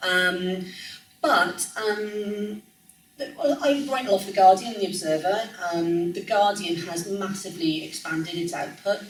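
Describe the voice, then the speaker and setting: A woman's voice: medium pitch, measured pace. A woman in her forties from Wales with a light Welsh accent, speaking casually as a guest speaker, full of ums and self-corrections. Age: 40s. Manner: chatty, frequent ums and self-corrections, laughs easily. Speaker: music journalist guest speaker. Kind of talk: casual conversational talk. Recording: echoey room, distant mic, faint noise.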